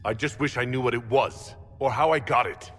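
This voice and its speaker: A man's voice: medium pitch, fairly quick, a bit bright, lightly acted. An actor playing a wary, plain-spoken male dwarf hero in a video game, with an informal, questioning delivery. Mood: wary, puzzled. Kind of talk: video game dialogue acting. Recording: hall reverb with music behind.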